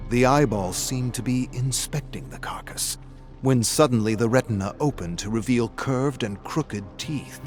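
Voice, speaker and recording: A man reading scripted horror fiction as a narrator, in a dramatic, suspenseful style. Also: fairly low pitch.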